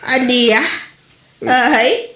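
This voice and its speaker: A woman's voice: fairly high pitch, drawn-out words, pushed and bright, exaggerated voice. An actress playing an older grandmother in an audio drama, exclaiming and nagging at her grown son. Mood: scolding. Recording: echoey recording with music behind.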